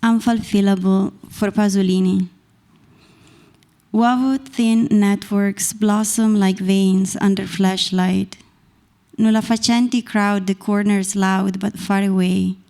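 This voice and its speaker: Italian-accented woman, slow gravelly poetry reading. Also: mid-range voice, steady tone, mellow. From Italy. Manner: measured, deliberate reading from a page. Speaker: poet reading her work. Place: small stage in a dark performance venue. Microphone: stand mic close to the mouth.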